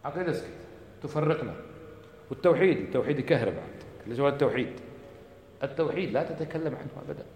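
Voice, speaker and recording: Guy preaching fast, loads of rhetorical questions, big echoey hall with a long reverb tail. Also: animated, darkish tone, a little hiss or hum. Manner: impassioned, rhetorical questions, sudden drops to a low voice. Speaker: religious teacher. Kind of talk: lecture.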